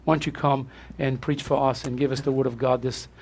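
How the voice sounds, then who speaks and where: Gravelly-voiced pastor with a Portuguese accent. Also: male voice, mid-range voice, flat delivery, a little fast, darkish tone, a little hiss or hum. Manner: effusive, repeats himself, laughs often. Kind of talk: church service welcome.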